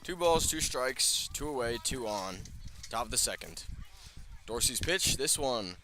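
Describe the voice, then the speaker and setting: A male radio announcer delivers live baseball play-by-play from outdoors at field level. His voice is bright and forceful, sitting at a middle pitch for a man. He follows the action pitch by pitch in a commentary style. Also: animated, measured pace. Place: outdoors at a ballpark, field level by the first base line.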